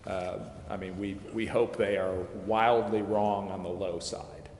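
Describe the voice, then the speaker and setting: A man explaining finance details at a city committee meeting, measured and full of fillers and restarts. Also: lowish voice, little pitch movement, darkish tone. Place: council chambers. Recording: echoey chamber with long reverb, faint noise.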